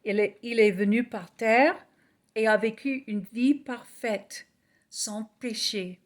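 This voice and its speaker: Slow earnest woman preacher. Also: on the high side, steady tone. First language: English. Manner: earnest, measured, reading aloud. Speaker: evangelist. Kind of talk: slow scripted gospel message.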